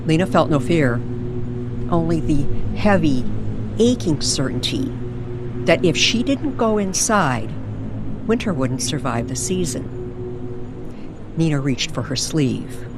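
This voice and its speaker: A woman reading dramatic scripted narration in an old-time radio storytelling style, deliberate and suspenseful, with long pauses. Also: deep voice, very animated, noisy background. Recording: music behind the voice.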